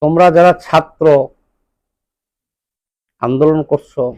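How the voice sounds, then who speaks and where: A man's voice: fairly high pitch, a little slow, a little mellow, no room sound. A man with a Bangladeshi accent delivering an impassioned political monologue, emphatic and scolding, throwing out rhetorical questions. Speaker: political commentator.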